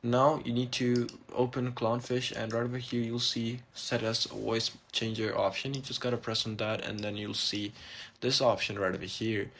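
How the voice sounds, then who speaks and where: A man narrating a casual, step-by-step software tutorial in an informal, instructional way. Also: medium pitch, creaky, slight background noise. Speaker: tutorial host.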